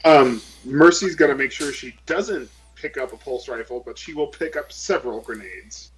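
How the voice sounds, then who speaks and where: Male game player, medium pitch, casual conversational delivery. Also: moderate pace, soft background music, low-quality recording. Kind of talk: casual chat.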